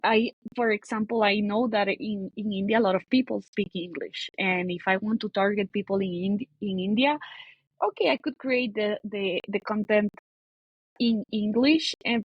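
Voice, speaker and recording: A woman's voice: mid-range voice, fairly even intonation, moderate pace, breathy edge, dry recording, lo-fi sound. A woman, a guest on a podcast talking about content marketing, often restarting and cutting off words as she thinks. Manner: hesitant, frequent restarts and cut-off words. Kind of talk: casual interview conversation.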